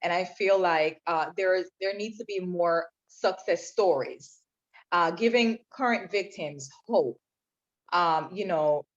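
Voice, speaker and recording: A woman from Jamaica, a podcast guest telling her own story in an earnest, reflective way. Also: fairly low pitch. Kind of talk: personal testimony in interview. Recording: dull lo-fi sound.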